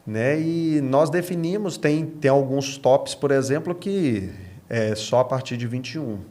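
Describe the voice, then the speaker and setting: A Brazilian man answering as a podcast guest, speaking conversationally and haltingly, often tagging phrases to check agreement. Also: medium pitch, warm tone.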